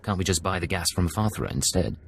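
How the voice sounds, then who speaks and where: Cool undercover agent for a game, deep voice, controlled and polite. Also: male voice, animated, a little fast, creaky, a bit bright, a little hiss or hum, exaggerated voice. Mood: businesslike. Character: smooth, cold-blooded male secret agent posing as pirate. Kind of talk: video game voice acting.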